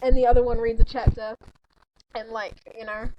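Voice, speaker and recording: Giggly, high-pitched woman. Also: level tone, brisk, muffled recording, a little hiss or hum. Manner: giggly, informal, breaking off to react. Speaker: podcast co-host reading fan fiction aloud. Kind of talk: casual giggly chat and amateur read-aloud with friend.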